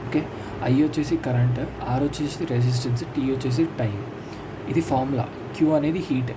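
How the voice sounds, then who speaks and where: A man with a Telugu accent teaches in an informal, explanatory way, addressing the student directly and often repeating himself. His voice sits at a medium male pitch. Clear, steady noise runs behind him. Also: fairly quick. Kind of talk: informal lesson, reading out notes and explaining them.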